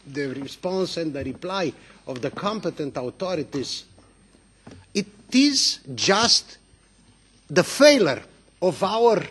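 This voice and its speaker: A man gives an impassioned, indignant speech at a parliamentary hearing, with halting restarts. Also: high-pitched, a bit bright, slight background noise. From Romania.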